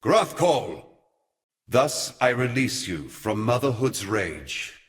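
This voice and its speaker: An actor playing an ancient, brooding god and grave mentor in a video game, with solemn, eloquent, theatrical delivery. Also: male voice, mid-range voice, sing-song, slow. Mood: commanding. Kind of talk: theatrical scripted game dialogue.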